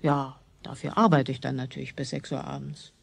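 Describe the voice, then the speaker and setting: A woman playing a brisk, matter-of-fact working grandmother with a gravelly, husky older voice in a children's radio play. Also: a bass voice, level tone, no room sound, full character voice. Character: brisk, unfussy working grandmother, a cleaning lady.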